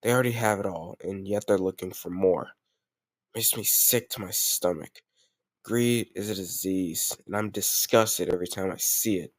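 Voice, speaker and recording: A man's voice: sits low, normal speed, no room sound. Man acting a commanding mafia boss, close dry sound. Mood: disgusted. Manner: commanding yet affectionate, gangster slang. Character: doting but ruthless male mafia boss. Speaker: voice actor in audio roleplay. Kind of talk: intimate scripted roleplay audio drama.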